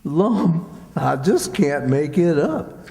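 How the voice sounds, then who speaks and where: Man acting a high-pitched whiny country sidekick. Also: big pitch swings, takes it slowly, vocal fry, dark tone, exaggerated voice. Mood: plaintive. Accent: rural Southern American. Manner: plaintive, folksy. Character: Abner, soft-hearted, gullible, whiny rustic sidekick. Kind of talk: old-time radio comedy acting. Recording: reverberant hall stage recording.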